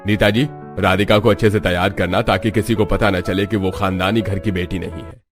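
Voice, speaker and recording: A man voicing a rich, status-conscious patriarch with a measured, authoritative manner. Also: deep voice, fairly even intonation, over music, lightly acted. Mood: instructing.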